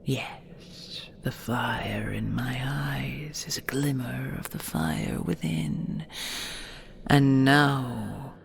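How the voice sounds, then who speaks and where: A woman's voice: very deep voice, extremely slow, slight background noise, exaggerated voice. A woman playing an ancient, possessive and tender she-dragon in an intimate audio roleplay, whispering soothingly with formal, old-fashioned phrasing. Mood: hypnotic, doting. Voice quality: breathy, creaky whisper.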